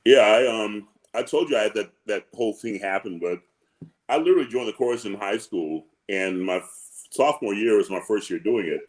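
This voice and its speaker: A man who sings low parts, chatting in an animated, joking way over a video call. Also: fairly quick. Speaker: opera singer, bass-baritone. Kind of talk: casual panel chat.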